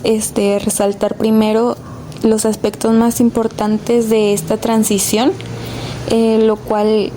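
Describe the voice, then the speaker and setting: A woman's voice: a little high, mellow, slight background noise. A woman presenting a student podcast, explaining informally in a hesitant, conversational way.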